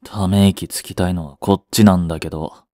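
A man's voice: low-pitched, steady tone, brisk, mellow, dry recording, studio quality, natural voice. A man playing a curt, sulky young boyfriend in a romance audio drama, with a blunt, muttering manner. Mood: irritated, weary. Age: young adult. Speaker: voice actor.

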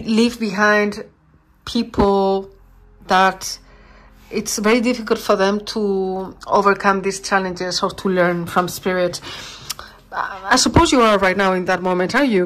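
A woman's voice: medium pitch, steady tone, unhurried, poor audio. Woman giving a tarot reading. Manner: warm, reassuring, searching for words. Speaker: tarot reader.

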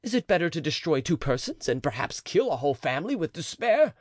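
A man's voice: on the high side, very animated, fast, no echo, studio quality, pitched above the performer's own voice. A man reading the part of a desperate, anguished nobleman who pleads emotionally. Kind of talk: audiobook character reading.

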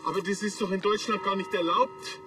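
A man's voice: sits high, fairly even intonation, fairly quick, over music, close to an everyday voice. An actor playing an eager, officious village man in a TV comedy. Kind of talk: naturalistic TV comedy acting.

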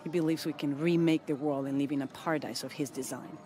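A middle-aged woman playing a dignified, resolute rebel leader in a video game, speaking with grave, measured authority. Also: low-pitched, steady tone, mellow, a little hiss or hum, natural voice. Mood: grave, explaining. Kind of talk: dramatic video game acting.

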